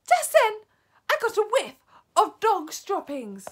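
A very high, shrill witch from a children's storybook. She is excitable and insistent, shrieking her words in big swoops. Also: moderate pace, exaggerated voice. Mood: alarmed. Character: tall, shrieking, excitable witch sniffing out foul smells.

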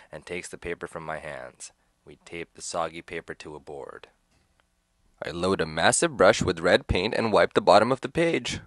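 Low-voiced man reading a memoir. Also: fairly bright tone, dry recording, studio quality. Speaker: performer reading a literary piece. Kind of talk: dramatic reading of first-person memoir.

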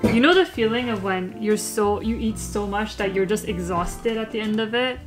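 A woman's voice: mid-range voice, normal speed, faint music behind. A woman in her twenties talking casually to her camera in a vlog, chatty and self-deprecating, laughing often. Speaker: lifestyle vlogger.

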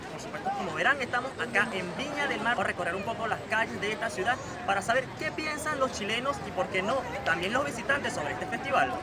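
A man's voice: very high-pitched, animated, quick pace, hardly any pauses, far from the mic. A man working as a field reporter, presenting upbeat and putting questions to people in a busy city square. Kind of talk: street reporting and interviews. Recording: outdoor crowd noise, off-mic.

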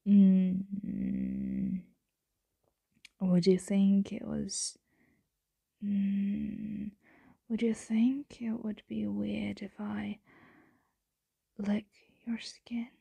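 Casting: woman to play teasing female roommate in vampire ASMR roleplay; very slow, husky, breathy half-whisper, intimate and flirtatious. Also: medium pitch, sing-song, full character voice. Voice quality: soft, breathy, frequently whispering. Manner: slow, hesitant, teasing murmur.

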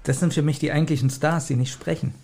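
A man co-hosting a podcast in casual banter, animated and acting out his examples. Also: a little high, fast. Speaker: podcast host.

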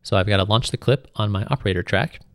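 A man giving an informal, step-by-step tutorial as a music software instructor. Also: on the low side, fast, no echo, studio quality. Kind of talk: tutorial narration, explanatory.

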